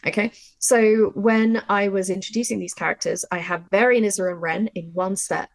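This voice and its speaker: Woman, workshop presenter, informal and animated explainer. Gravelly edge to the voice, very dry recording. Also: mid-range voice, moderate pace, no echo. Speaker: audio drama writer and workshop presenter.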